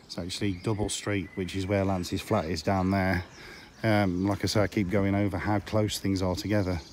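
A middle-aged man with a hoarse voice from a cold, rambling casually while giving a walking tour. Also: low-pitched, flat delivery, normal speed, a little mellow, a little hiss or hum. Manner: rambling, chatty, with frequent ums. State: hoarse, losing his voice from a cold. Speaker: vlogger touring filming locations. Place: outdoors on small town streets.

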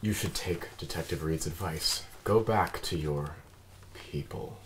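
A man acting a calm, precise android detective in a scripted drama read, speaking in a measured, formal way. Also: very deep voice, a little hiss or hum. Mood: dry, formal. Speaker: actor reading an android detective at a table read.

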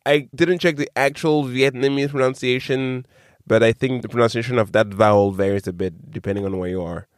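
A man of middle pitch runs a podcast trivia quiz. He reads each question slowly and carefully, then slips into easy, joking chat. The recording is close and dry, with no room sound. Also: fairly even intonation, dry recording. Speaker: quizmaster.

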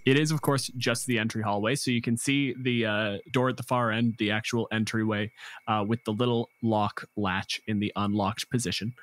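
A man's voice: fairly low pitch, fairly even intonation, normal speed, no room sound. A man narrating a tabletop game as game master, improvising informal descriptions with the odd swear word.

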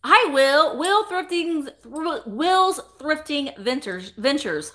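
A talkative woman in her forties with a bright, forceful voice pitched a little high, hosting a live sale. She speaks animatedly into a close webcam in a small indoor room. Her delivery is chatty and upbeat. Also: slow pace. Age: 40s. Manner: animated, talkative, greets viewers by name. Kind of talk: chatty live selling stream. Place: small indoor room full of vintage decor. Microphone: webcam at desk, close framing.

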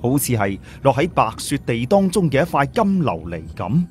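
A man's voice: mid-range voice, big pitch swings, moderate pace, a little mellow, a little hiss or hum. A man narrating a story in a dramatic audiobook style.

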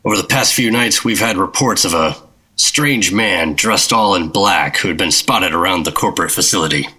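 An actor playing a guarded, formal government secret agent and narrator in a 1950s-style radio drama. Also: male voice, deep voice, normal speed, bright, forceful tone, slightly rough, heavily acted, rough recording.

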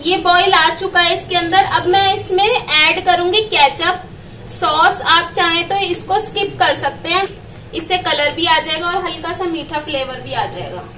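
A woman's voice: very high-pitched, a little slow, bright, forceful tone, far from the mic, a little hiss or hum, poor audio. A woman explaining a recipe step by step as she cooks in her home kitchen. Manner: step-by-step instructing. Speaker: home cook vlogger. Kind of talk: cooking demonstration.

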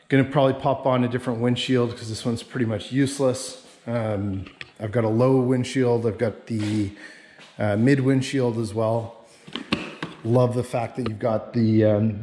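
A man's voice: mid-range voice, mellow, slight background noise. Casual man giving a walkaround. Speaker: snowmobile vlogger. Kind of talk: casual walkaround tour to camera. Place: residential garage with concrete floor.

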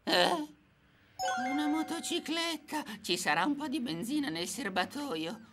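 A woman's voice: high-pitched, sing-song, measured pace, music in the background. A woman voicing a hot-headed, stubborn male cat turned cyborg in a cartoon dub, brash and theatrical. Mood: determined. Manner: brash, theatrical, dramatic outbursts. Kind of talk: Italian cartoon dubbing.

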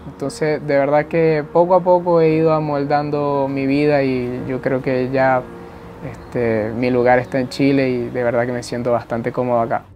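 A man, calm and reflective, mid-pitched. He talks seated in a high-rise room, with a faint hum of traffic behind him. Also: normal speed, lo-fi sound. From Venezuela. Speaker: civil engineer, campus services manager. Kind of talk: documentary interview. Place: indoors by window, high-rise view.

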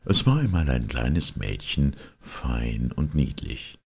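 Very deep, gravelly male storyteller. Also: big pitch swings, slow. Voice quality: gravelly, husky. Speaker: audiobook narrator. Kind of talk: expressive fairy tale storytelling.

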